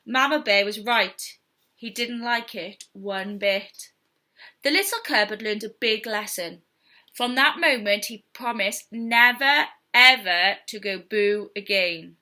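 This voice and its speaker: A woman reading a story aloud to young children in an expressive, theatrical storytelling style. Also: medium pitch, slow pace. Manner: theatrical, with whispers and sound effects.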